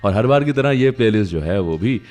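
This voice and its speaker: A man hosting a radio music show, telling stories in a chatty, conversational way with dramatic pauses and emphasis. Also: on the low side, expressive, darkish tone.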